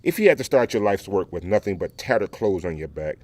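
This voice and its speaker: An actor playing a dignified, proud Southern educator and orator in an audio play, speaking in a formal, measured, courteous way. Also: male voice, low voice, animated, no room sound. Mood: earnest, firm. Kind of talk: dramatic audio play dialogue.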